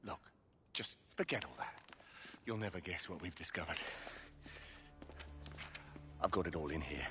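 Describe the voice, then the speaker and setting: Low, animated British tough guy. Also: moderate pace, long pauses, music in the background. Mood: indignant, brisk. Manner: blunt, animated, matey. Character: brash, excitable bald British male tough guy. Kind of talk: video game cutscene acting.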